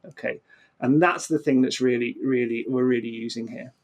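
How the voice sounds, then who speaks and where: A man's voice: mid-range voice, fairly even intonation, normal speed. A man explaining physics conversationally as an interview guest, often repeating words and restarting mid-phrase.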